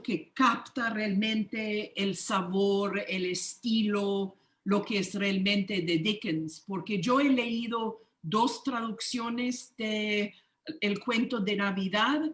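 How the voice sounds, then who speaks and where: A woman's voice: mid-range voice, fairly bright tone, echoey room. A woman on a discussion panel, speaking hesitantly with frequent fillers.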